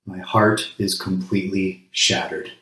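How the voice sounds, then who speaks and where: Low, even-voiced man leading a solemn ritual. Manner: measured, solemn, pausing between short phrases. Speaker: congregation worship leader. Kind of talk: solemn spoken grief ritual. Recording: roomy, echoing sound.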